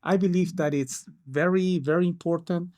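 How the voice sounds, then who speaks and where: Man, fairly high voice. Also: fairly even intonation, moderate pace, a little mellow. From Peru. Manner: hesitant, many fillers and restarts. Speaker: case manager counselor. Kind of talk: interview answers.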